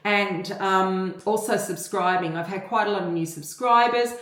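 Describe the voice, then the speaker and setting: Australian woman, gardening vlogger, casual rambling talk, average pitch, roomy echo. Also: steady tone, moderate pace, fairly bright tone. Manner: chatty and rambling, many ums. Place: home kitchen garden.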